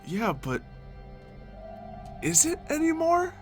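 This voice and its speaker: A man playing a conflicted survivor of a zombie apocalypse in an improvised roleplay, halting and unsure. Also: extremely high pitch, huge pitch swings, faint music behind, full character voice. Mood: uneasy, doubtful. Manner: halting, unsure, soul-searching. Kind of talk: improvised roleplay dialogue and monologue.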